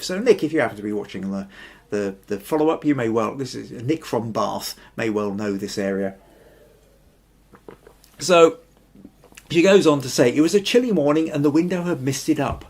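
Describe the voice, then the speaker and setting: An older man with a gravelly, slightly husky voice hosts a photography show from a small room at home. He talks in a loose, thoughtful way, drifting onto side points and reading out comments from viewers. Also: medium pitch. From Scotland. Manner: thoughtful, rambling, reads viewer comments aloud. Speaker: photography podcast host. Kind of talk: casual live-stream chat.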